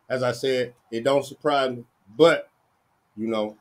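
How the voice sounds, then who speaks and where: A man's voice: mid-range voice, steady tone, takes it slowly. A middle-aged man from the Dallas area hosting an entertainment news channel, chatting casually and tagging "man" and "you know what I'm saying" onto everything. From Dallas–Fort Worth area, Texas. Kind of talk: casual news chat to viewers. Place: small room indoors. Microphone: webcam with headphones on.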